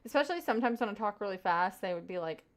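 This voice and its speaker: A woman's voice: medium pitch, animated, very fast. A young woman from Kentucky with a light Southern US accent, live-streaming, chatting casually, and thanking her viewers over and over. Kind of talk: casual chat reading viewer comments.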